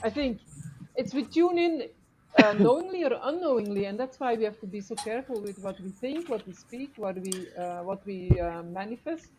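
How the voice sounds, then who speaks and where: A woman's voice: medium pitch, normal speed. A woman from Belgium chatting casually on a livestream, hesitant and searching for words, picked up by a computer mic. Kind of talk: casual group livestream chat. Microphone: computer mic some distance away. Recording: faint noise, computer mic at distance.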